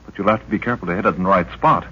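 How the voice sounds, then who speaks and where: An actor playing a keen, loyal young member of an expedition in a 1930s radio drama, speaking respectfully and with an upbeat tone. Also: male voice, sits low, very fast, bright, forceful tone, breathy edge, full character voice. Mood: eager. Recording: dull lo-fi old radio recording.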